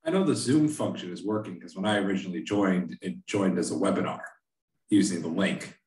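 Man, meeting panelist, very deep voice, matter-of-fact, echoey room. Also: a little fast, darkish tone, far from the mic. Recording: roomy echo.

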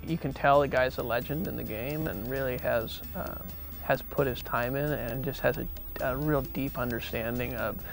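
A man's voice: mid-range voice, steady tone, normal speed, vocal fry, music in the background. A man, a fishing guide, talking casually in an interview outdoors on a river boat, often repeating words as he thinks.

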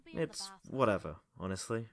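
An actor playing a dry, teasing teenage schoolboy who loves history in an audio drama. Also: male voice, on the low side, very animated, normal speed. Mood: teasing, laid-back.

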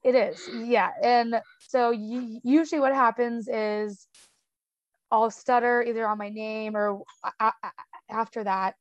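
A woman's voice: fairly high pitch, steady tone, unhurried, no room sound, lo-fi sound. A young woman with a stutter, talking casually as an interview guest, with sound repetitions, blocks and lots of "um" and "like".

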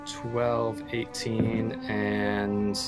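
A man playing a tabletop roleplaying game, improvising casually and haltingly as he thinks aloud. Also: lowish voice, monotone, loud music behind the voice.

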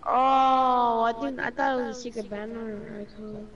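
A woman streaming a game on a headset, chatting casually with a friend in a pushy, excitable way. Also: a little high, moderate pace, highs cut off, a crowd in the background. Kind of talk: casual gameplay chat with a friend.

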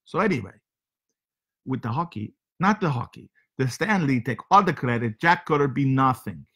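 A man's voice: on the high side, takes it slowly, pushed and bright, full character voice. A man voicing a rambling foreign male show host who speaks broken English and keeps losing his words. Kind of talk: comedic character monologue.

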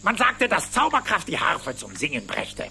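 An actor playing a crotchety, miserly old rich uncle duck in a cartoon radio play, blustering and excitable. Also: male voice, on the high side, moderate pace, vocal fry, a little hiss or hum, heavily acted. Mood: proud. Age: older.

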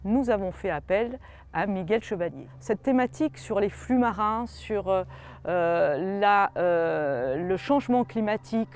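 A middle-aged woman, administrator of a historic monument, speaking on camera outdoors by a stone wall, measured with frequent hesitations. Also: medium pitch, animated, darkish tone. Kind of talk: on-camera interview statement.

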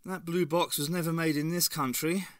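A guarded old man, gruff and matter-of-fact, voiced by a male reader. His voice sits a touch above the reader's own, read close and dry with bright edges. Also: a little high, fairly even intonation, normal speed, dry recording, pitched above the performer's own voice, close to an everyday voice. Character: old man, guarded, armed cafe keeper.

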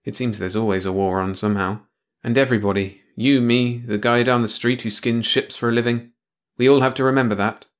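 A man's voice: mid-range voice, fairly even intonation, fairly quick, close to an everyday voice. A man voicing a weary, cynical male official who speaks with dry bitterness. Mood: grim.

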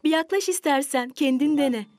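A woman voicing a gentle, patient young mother in a children's cartoon, explaining kindly, with music behind her. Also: high voice, moderate pace, dry recording, lightly acted. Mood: gently explaining.

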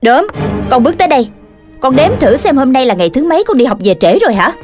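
A woman's voice: high voice, sing-song, quick pace. A woman playing an exasperated, scolding mother dog in a children's cartoon, with a southern Vietnamese accent and a nagging, stern manner. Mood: angry, stern. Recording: music behind the voice.

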